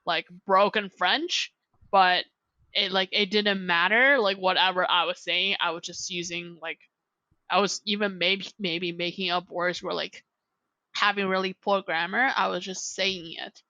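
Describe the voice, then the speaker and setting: A young woman talking as a podcast guest, rambling from point to point and leaning on "like" constantly. Her voice has a gravelly edge and a bright, forceful tone, recorded close and dry. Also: fairly low pitch, steady tone, a little slow, no echo, dull audio. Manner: rambling, heavy use of "like". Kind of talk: casual chat.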